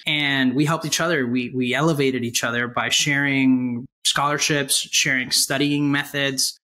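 A man's voice: medium pitch, bright, forceful tone. A man in his thirties, from Puerto Rico and New York, telling his life story conversationally as an interview guest. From Ecuador-born, grew up in Puerto Rico and New York City. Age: 30s. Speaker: podcast guest, aerospace engineer. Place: small room at home, video call.

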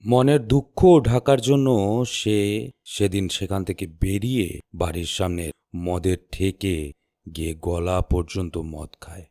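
A man narrating a scripted horror story in measured, suspenseful short phrases. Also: deep voice, steady tone, dark tone, no echo, clean studio sound.

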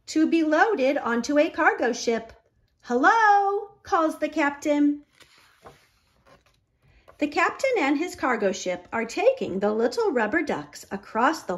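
A middle-aged woman reading a picture book aloud to young children, deliberate and expressive. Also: high-pitched. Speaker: children's library storyteller.

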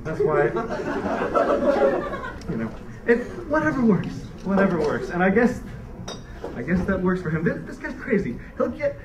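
A man's voice: high voice, echoey room. Young guy doing standup, kinda rambly and wry, dull distant sound, crowd laughing a lot. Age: young adult. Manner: rambling, wry, with frequent restarts. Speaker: stand-up comedian. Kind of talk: stand-up comedy set. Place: dark theater stage before a laughing audience. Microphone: handheld stage mic, recorded from a far camera.

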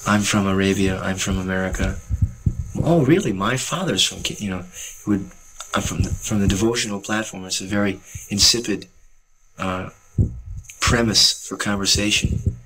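A young man speaking earnestly and calmly about selling books to travelers, with faint hiss from old film audio. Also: low-pitched, normal speed, slightly rough, rough recording. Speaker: book distributor. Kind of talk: reflective commentary over footage.

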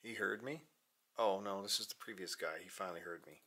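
A man's voice: sits low, a little fast. An American man in his forties narrating a casual vlog, offhand and half talking to himself. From United States. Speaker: vlogger, amateur radio hobbyist. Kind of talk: casual vlog narration while operating radio. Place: unfinished basement with metal shelving. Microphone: handheld camera mic at arm's length. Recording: steady background noise, handheld camera audio.

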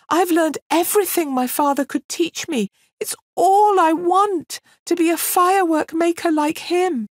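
A woman voicing a desperate young girl in a storybook reading, high and gasping. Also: no echo, clean studio sound.

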